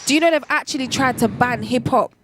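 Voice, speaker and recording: A woman's voice: sits high, fast talker, a crowd in the background. A young woman hosting a casual talk show, asking questions and trading banter. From Liverpool, England.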